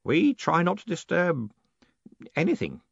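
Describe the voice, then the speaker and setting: Man putting on a doddering old clerk, high and quavery, swoops around, servile, lo-fi sound. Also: wildly swooping pitch, measured pace, a bit bright, slightly rough, no echo, full character voice. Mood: hushed, evasive. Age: older. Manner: high, quavering, deferential, keeps saying sir. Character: fussy, doleful old male postal clerk. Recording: dull lo-fi recording.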